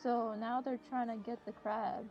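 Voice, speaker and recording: A young woman with a high voice comments casually on a game as she plays. The mic is low quality, and game music plays faintly behind her. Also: little pitch movement, unhurried, muffled recording. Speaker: gamer playing and commenting. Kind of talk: casual chat over gameplay. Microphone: voice call with a friend during gameplay.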